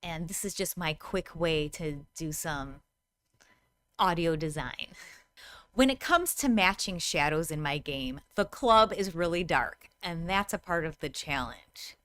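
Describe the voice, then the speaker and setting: A woman's voice: low-pitched, lively intonation, measured pace, fairly bright tone, clear, resonant tone. Woman explaining things in a conversational tutorial. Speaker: host, VR game designer.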